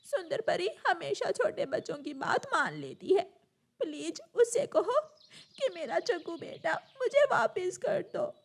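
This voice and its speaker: A woman's voice: very high voice, very animated, a little slow, heavily acted. A woman voicing a worried mother crow in a cartoon story, pleading in a plaintive way. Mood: anxious pleading. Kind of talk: Hindi animated moral story acting.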